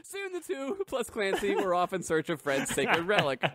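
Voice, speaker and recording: A man's voice: high-pitched, huge pitch swings, normal speed, fairly bright tone. A man hosting a comedy podcast, telling comic fantasy stories in a crude way and often laughing. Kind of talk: comic fantasy storytelling with banter.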